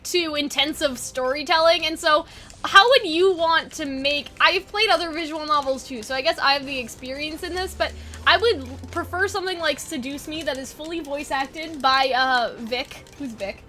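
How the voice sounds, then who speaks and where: A woman with a high, bright, forward voice talks casually as an online host. She rambles informally through her ideas without a script, like someone brainstorming out loud with friends. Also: moderate pace. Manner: rambling, informal, occasionally sweary. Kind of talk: casual unscripted brainstorming chat.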